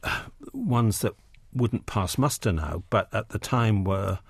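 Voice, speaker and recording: Hesitant English man, low voice. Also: lively intonation, a little slow, creaky, breathy edge, dry recording, studio quality. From England. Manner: hesitant, many ums and restarts. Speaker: interview guest, poet and editor. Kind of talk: relaxed radio interview conversation.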